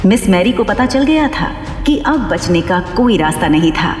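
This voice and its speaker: A woman's voice: mid-range voice, sing-song, normal speed, soft background music. A woman narrating a scripted dramatic audio story. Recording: echoey long reverb.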